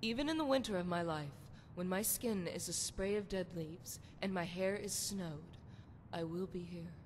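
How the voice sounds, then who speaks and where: A woman's voice: normal speed, natural voice. A woman playing a seductive, flirtatious priestess of a love goddess in a video game, with sultry, poetic, theatrical phrasing. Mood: wry, self-assured. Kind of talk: scripted video game character dialogue. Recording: long hall reverb, noisy rumbling background.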